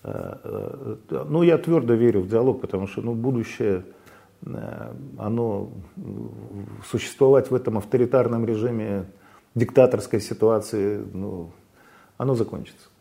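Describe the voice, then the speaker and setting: Gravelly man, halting speech. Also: lowish voice, dark tone. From Belarus. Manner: halting, full of fillers and restarts. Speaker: interview guest. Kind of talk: casual interview talk.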